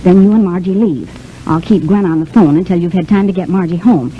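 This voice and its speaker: An actress playing a quick-thinking, bossy, protective showgirl friend in a vintage radio soap, talking briskly and chattily. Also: on the low side, close to an everyday voice. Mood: bossy, urging. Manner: brisk, chatty, takes charge. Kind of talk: 1930s-40s radio soap opera acting. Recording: muffled, hissy vintage radio recording.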